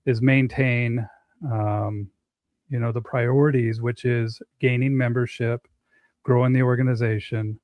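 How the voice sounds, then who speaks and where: A middle-aged man hosting a casual talk, speaking earnestly with frequent pauses and restarts. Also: mid-range voice, level tone, no echo, muffled recording. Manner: earnest, halting, many restarts. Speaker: host. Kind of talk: casual conversation.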